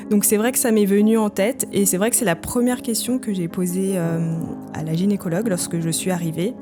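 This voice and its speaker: A woman in her thirties, a podcast guest telling her own story candidly, thinking aloud with frequent hesitant pauses. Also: mid-range voice, fairly even intonation, over music. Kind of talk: candid personal testimony.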